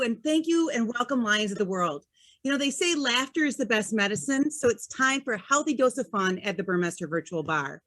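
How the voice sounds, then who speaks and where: Woman host of an online meetup, bouncy upbeat delivery, pitch moving all over. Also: a bit bright. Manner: upbeat, scripted introductions. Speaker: event host. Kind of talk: hosting a virtual event. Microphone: video call.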